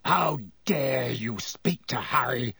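A man voicing a fierce, embittered gaunt man in an audiobook, harsh and croaky, with forceful accusing delivery. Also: medium pitch, sing-song, takes it slowly, no room sound, clean studio sound, heavily acted. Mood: furious accusation. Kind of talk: audiobook character voice.

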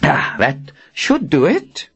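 A man's voice: on the high side, huge pitch swings, slow pace, gravelly, a bit bright, husky, muffled recording, voice pushed up, exaggerated voice. A man voicing an older, self-assured prophet wizard with a breezy manner in an audiobook. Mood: pleased, breezy. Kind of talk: audiobook character acting.

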